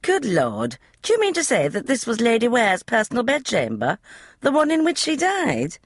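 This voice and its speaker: A woman voicing a blunt, sharp-tongued older lady with a brusque, salty way of talking. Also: on the high side, huge pitch swings, normal speed, dry recording, studio quality, voice pushed up, full character voice.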